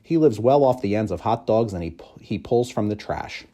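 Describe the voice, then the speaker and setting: Man, lowish pitch, dark mellow tone, expressive storybook narration, measured phrasing. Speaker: audiobook reader, school staff member.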